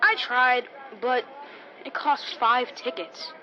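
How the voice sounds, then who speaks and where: A woman's voice: a little high, takes it slowly, sharp tone, slight background noise. A woman playing an eager, polite, earnest young boy in an old-time radio drama. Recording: dull radio sound.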